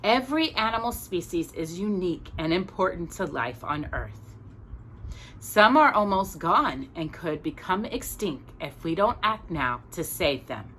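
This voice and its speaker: A woman's voice: fairly low pitch, very animated, a little slow, fairly bright tone, slight background noise, rough recording. A woman reading a children's picture book aloud as a storytime reader, expressively and with small asides to a young listener.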